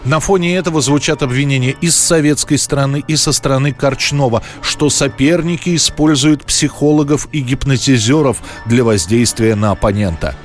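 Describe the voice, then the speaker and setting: Male history narrator over soft music. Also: mid-range voice, normal speed, hardly any pauses. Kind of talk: scripted documentary narration. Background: faint background music.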